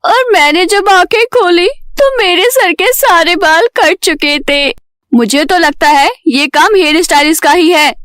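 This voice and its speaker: Woman acting a very high-pitched, plaintive young daughter. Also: a little slow, fairly bright tone, dry recording, studio quality. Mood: upset. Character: distressed young woman, timid and gloomy daughter.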